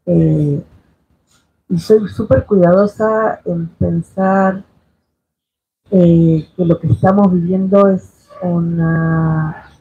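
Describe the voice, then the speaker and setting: A woman's voice: fairly low pitch, slightly rough. A woman in her fifties from Chile, speaking as a panelist in a discussion, reflective and hesitant with many fillers. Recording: tinny lo-fi sound, faint noise.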